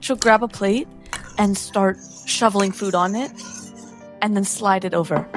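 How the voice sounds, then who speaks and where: A woman's voice: mid-range voice, a little slow. A woman playing a tabletop roleplaying game, improvising narration of her character's actions. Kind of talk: improvised third-person narration and in-character play. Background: faint background music.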